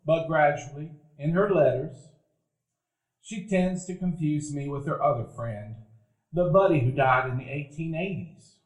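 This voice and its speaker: Actor as nostalgic man looking back on boyhood, measured literary narration, higher-pitched male voice, radio play, echoey hall. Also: natural voice. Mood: tender, melancholy. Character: nostalgic grown man recalling his boyhood friend. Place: event hall before live dinner-theater audience. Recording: echoey live theater stage.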